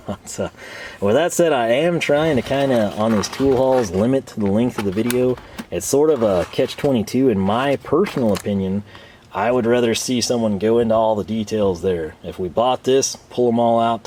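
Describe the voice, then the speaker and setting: Man, low pitch, dark mellow tone, conversational product commentary, self-deprecating, slightly tired voice. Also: slight background noise. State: tired voice starting to give out. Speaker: host of tool review channel. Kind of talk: casual unscripted product haul commentary.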